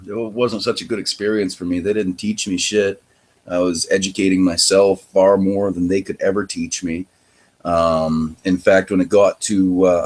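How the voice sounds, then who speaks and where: A young man from Maine with a deep voice, hosting and telling his own life story in a rambling, candid way that turns heated and emphatic. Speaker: podcast host. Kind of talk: personal testimony monologue.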